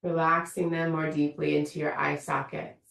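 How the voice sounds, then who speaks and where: A low-voiced woman guides a meditation, her words unhurried and gently inviting. Her voice has a gravelly edge. She sounds a little away from the mic, and the room gives it an echo. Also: little pitch movement, recorded from a distance. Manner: slow, soothing, invitational guidance. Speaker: meditation teacher. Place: room of an online yoga studio.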